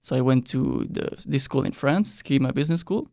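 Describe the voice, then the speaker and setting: A man's voice: mid-range voice, normal speed, gravelly, no echo. A young man who grew up in Mexico, chatting easily as an exchange-student guest on an interview podcast. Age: young adult. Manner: relaxed, loose with frequent "like" and quiet trailing ends. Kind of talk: casual interview chat. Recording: dry close studio mic.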